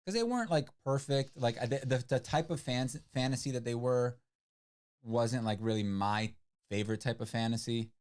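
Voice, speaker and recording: A man's voice: mid-range voice, moderate pace, clean tone. A man who speaks English as a second language, co-hosting a book club livestream and chatting casually with many "like" fillers. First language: not English. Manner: rambling, many "like" fillers, animated retelling. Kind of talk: casual chat.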